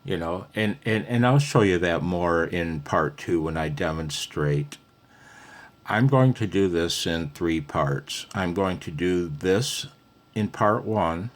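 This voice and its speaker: A man's voice: low voice, slow. A man giving a casual, unscripted hobby tutorial, rambling conversationally as he thinks aloud.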